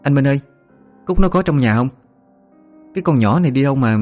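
A man's voice: medium pitch, expressive, brisk, darkish tone, soft background music, voice pushed up, lightly acted. A man voicing a young woman calling out.

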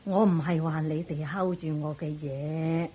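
Sick elderly mother, Hong Kong Cantonese radio drama: lowish, drawn-out, sighing, insistent. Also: steady tone, dark tone, breathy edge. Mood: firm, weary. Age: older. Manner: sighing, weary, halting. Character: frail, ailing, insistent old mother putting affairs in order. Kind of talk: Buddhist radio drama acting. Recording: noisy old radio drama recording.

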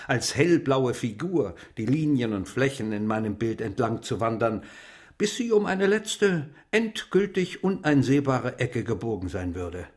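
Wistful elderly gentleman diarist, acted by a man, measured. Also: medium pitch, lightly acted. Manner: measured, contemplative, formal, precise diction. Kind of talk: literary radio play monologue, reading diary entries.

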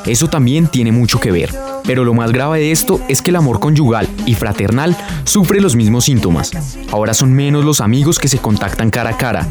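A man's voice: mid-range voice, animated, a little fast, over music. A man reading scripted commentary as a radio presenter.

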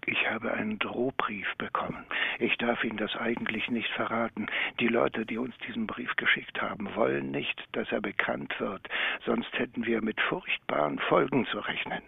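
A man's voice: medium pitch, fairly even intonation, moderate pace, no echo, exaggerated voice. A man playing a frightened, apologetic publishing house owner on the phone in a radio crime drama, formal and pleading. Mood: anxious. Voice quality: gravelly, raspy. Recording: dull, dry telephone-like sound.

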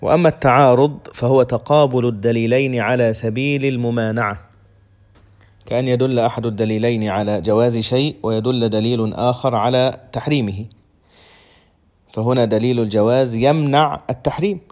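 A man's voice: medium pitch, fairly even intonation, brisk, darkish tone. A man giving a lecture on a legal text, methodical and restating each point in simpler words. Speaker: Islamic law teacher.

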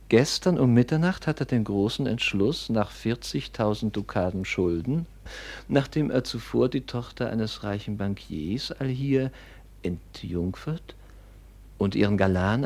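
An actor playing a scheming, envious younger son in a classical radio play, theatrical and declamatory, with an oily show of devotion. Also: male voice, fairly low pitch, darkish tone. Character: scheming, envious younger son, falsely pious, coldly ambitious.

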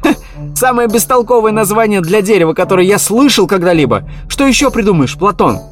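Man putting on a little teddy bear, high and shouty, cocky and mocking. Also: expressive, brisk, faint music behind. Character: small hot-tempered teddy bear.

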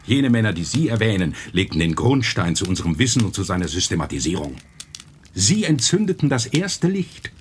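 An actor playing a kindly, authoritative older professor in a radio drama, deliberate and fatherly. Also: fairly low pitch, sing-song, a little hiss or hum. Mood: firm, fatherly.

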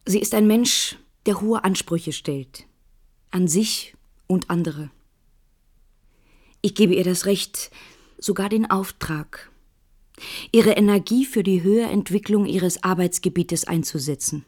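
Female reader, measured literary delivery, lowish pitch, soft dark timbre.

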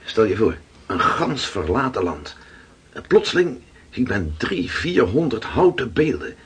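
An actor playing a Dutch sailor slowly recounting his voyage in a radio play. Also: fairly low pitch, big pitch swings, a little hiss or hum. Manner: slow, vivid recounting. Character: weathered Dutch sailor telling voyage tales.

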